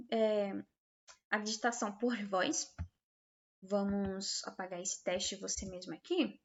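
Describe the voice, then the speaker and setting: Female tutorial instructor, Brazilian accent, higher-pitched, hesitant and explanatory, informal delivery, muffled low-fidelity audio. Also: a little mellow. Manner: hesitant, explanatory, checks understanding with short tags. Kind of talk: informal software tutorial, screen demonstration.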